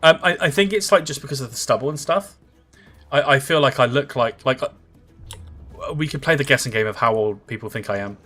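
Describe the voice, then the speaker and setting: Thirties male streamer, rambling and chatty, crisp bright tone, faint game music under him. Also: medium pitch, a little fast. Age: 30s. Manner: rambling, chatty, filler-heavy, reads out and answers viewer comments. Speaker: livestreamer. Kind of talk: casual livestream chat while gaming.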